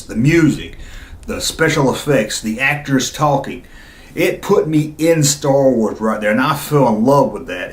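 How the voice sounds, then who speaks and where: A man's voice: mid-range voice, roomy sound. A middle-aged man talking casually and nostalgically to his viewers, with an enthusiastic, rambling, conversational manner. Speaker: YouTube video host.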